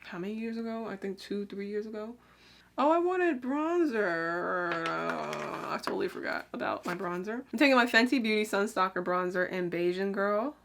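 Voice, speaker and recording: A young woman talks to camera at home while applying makeup, loose and rambling, filling pauses with "like" and "um". Her voice sits at a middle pitch and drops softer whenever she leans in to work, then lifts again as she explains. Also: creaky, slight background noise. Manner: chatty, rambling, lots of "like" and "um". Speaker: beauty vlogger. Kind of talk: casual get-ready-with-me makeup chat.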